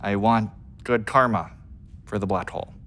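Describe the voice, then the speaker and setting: A man playing a gentle, forgiving, lovelorn astronaut crewmate in a radio drama, halting and soft-spoken. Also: on the low side, lightly acted. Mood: wistful. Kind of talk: naturalistic radio drama acting.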